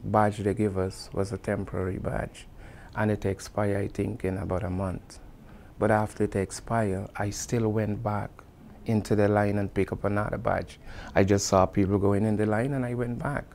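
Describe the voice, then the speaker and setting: A man's voice: lowish voice, a little mellow, a little hiss or hum. A middle-aged man with a Guyanese accent recalling personal experience in an earnest, emphatic way, repeating phrases to drive them home. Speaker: construction supervisor giving testimony. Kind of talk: personal oral-history recollection.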